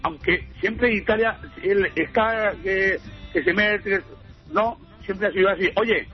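Halting, higher-voiced Spanish male caller. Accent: Spain. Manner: halting, repeating words. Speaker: radio phone-in caller. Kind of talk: casual opinion on a call-in show. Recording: dull radio call, music behind.

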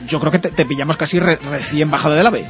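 A man's voice: fairly high pitch, fast talker, dull audio, faint music behind. A man with an accent from Spain hosting a live radio show, chatting in an effusive, complimentary way. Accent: Spanish from Spain. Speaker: radio host. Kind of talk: live radio interview, warm chat with guest. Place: radio studio.

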